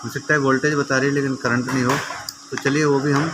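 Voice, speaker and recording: Guy doing a diy repair tutorial, chatty step by step, normal pitch, pretty noisy audio w chirpy background. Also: little pitch movement, fast. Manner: conversational, often checks with "okay" and addresses viewers as friends. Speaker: repair tutor presenting a how-to video. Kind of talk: informal hands-on demonstration, explaining step by step.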